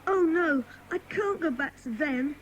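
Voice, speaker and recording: A high, childlike voice from a woman acting as a frightened young runaway boy. He is plaintive and guarded, with a streak of defiance, in a children's audio drama. There is faint noise behind him. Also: expressive, measured pace, lo-fi sound. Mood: plaintive, confiding.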